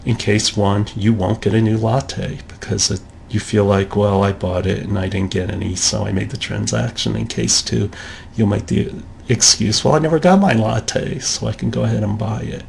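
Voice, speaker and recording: A man's voice: on the low side, steady tone, normal speed, creaky, darkish tone, slight background noise. A man giving a recorded college lecture in a conversational way, acting out the thoughts of shoppers in quotes.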